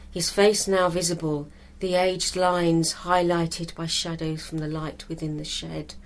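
A woman reading a scripted story as narrator, in a measured, expressive storytelling manner. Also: on the low side.

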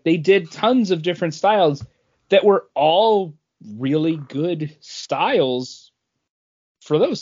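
A man co-hosting a podcast, giving blunt opinions in casual chat. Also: on the high side. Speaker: podcast co-host. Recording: dull, lo-fi audio.

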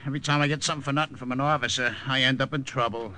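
An actor playing a cocky, slangy, blue-collar army private who grumbles comically in a 1950s radio drama. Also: male voice, medium pitch, fairly even intonation, very fast, loud music behind the voice, natural voice. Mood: wisecracking. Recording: old radio recording, faint noise.

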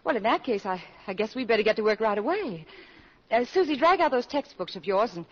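A woman's voice: a little high, lively intonation, brisk, close to an everyday voice. An actress playing a poised, scheming widowed mother in a 1940s radio comedy, middle-aged, with a genteel, coaxing, fluttery manner. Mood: determined, coaxing. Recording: dull vintage 1940s radio broadcast.

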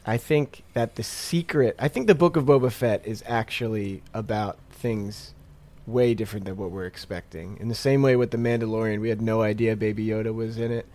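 A man's voice: lowish voice, brisk, darkish tone. A man guesting on a casual podcast, talking in a rambling, hesitant way with lots of fillers.

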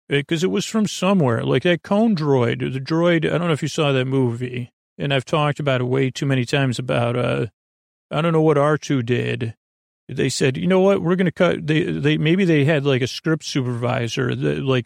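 A man with a gravelly, fry-laden voice at an ordinary male pitch hosts a sleep podcast. He wanders from thought to thought, halting and trailing off before he finishes. The sound is very dry, with no room around the voice. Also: dry recording. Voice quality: gravelly, creaky. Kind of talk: rambling, digressive solo monologue.

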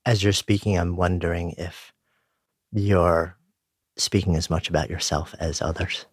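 Guy hosting an interview, deep husky voice, probing questions, dry close sound. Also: steady tone, a little slow, no room sound. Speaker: interview host. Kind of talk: interview conversation.

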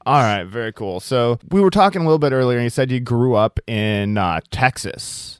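A male interviewer with a smooth, clear voice keeps the chat loose, tossing in quick jokes and prompting his guest along. The sound is dry and close, with nothing behind him. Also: medium pitch, lively intonation, no room sound. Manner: easygoing, jokey, encouraging, quick quips. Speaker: podcast host, interviewer.

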